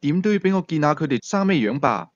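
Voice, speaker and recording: A male storyteller acting out a police team leader with a Hong Kong Cantonese accent. The delivery is probing, teasing and authoritative, with lively swings in pitch. It is an audio thriller with slightly muffled sound. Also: quick pace, no echo.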